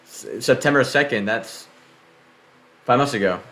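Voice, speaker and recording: A man's voice: medium pitch, normal speed, fairly bright tone. Man, podcast host, casual rambling chat, swears, lots of fillers.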